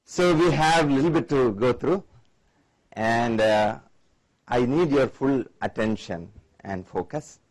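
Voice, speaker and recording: Indian-accented man giving a lively lecture. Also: medium pitch, slow pace, poor audio. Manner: lively, engaging the audience with questions. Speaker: Ayurvedic doctor, guest lecturer. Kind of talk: conference lecture.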